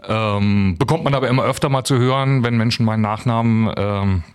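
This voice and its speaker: A man's voice: medium pitch, brisk, smooth. A man from Germany, a guest in a radio studio interview, speaking hesitantly with many ums and a wry tone. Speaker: guest, writer and musician.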